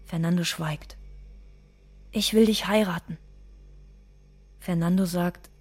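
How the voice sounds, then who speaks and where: An undocumented mother in a radio drama, guarded and quietly hurt. Her voice sits low and has a husky grain. She speaks in short, plain sentences that circle back on themselves. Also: steady tone, slow pace. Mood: subdued, bitter. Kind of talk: literary monologue in a radio play.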